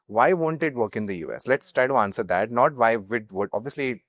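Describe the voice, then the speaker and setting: A young man with an Indian accent answering as a startup founder, candid and halting as he repeats words. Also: medium pitch, animated, no room sound, clean studio sound. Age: young adult. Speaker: startup founder being interviewed. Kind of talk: conversational interview.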